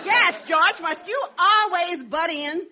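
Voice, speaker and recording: A woman's voice: very high voice, slow, pushed and bright, some chatter behind, slight background noise, full character voice. A woman playing a snippy, nagging wife in old-time radio comedy, prim and scolding. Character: snippy, nagging wife, devoted to her mother.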